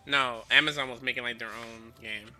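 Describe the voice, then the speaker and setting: A man's voice: mid-range voice, fairly even intonation, moderate pace, pushed and bright. A young man hosting a livestream, asking questions and teasing his friend, greeting viewers. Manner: teasing, inquisitive, greets chat. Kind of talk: casual gaming chat.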